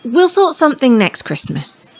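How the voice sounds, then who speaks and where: A woman's voice: sits high, very animated, moderate pace, gravelly, a little mellow, heavily acted. A woman playing an anxious, disappointed mother in an audio drama, pleading and trying to keep the peace. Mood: sad, placating.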